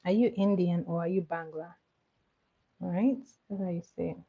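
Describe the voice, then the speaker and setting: A woman with Igbo as her chats easily with her livestream viewers in a lowish voice, giggling and doubling back on her words. The sound is dull and lo-fi, like a home stream with a basic mic. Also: normal speed, slightly rough. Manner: chatty, giggly, repeats words. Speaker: livestream host. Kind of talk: casual chat with viewers.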